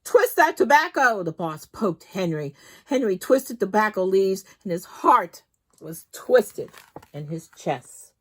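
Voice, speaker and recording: A woman storytime host reading a children's book aloud in an expressive, dramatic way that leans on key words. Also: mid-range voice, slow pace, breathy edge.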